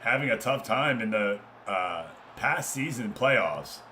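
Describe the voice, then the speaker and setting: A man's voice: medium pitch, expressive, slow pace, background noise. A man doing live, informal play-by-play of a football game, chatting casually with viewers between passes. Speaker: streamer commentating a football management game.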